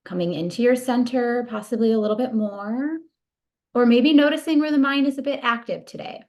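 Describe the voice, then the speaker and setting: A woman leading a guided body scan meditation in a soothing, gentle, unhurried manner. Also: a little high.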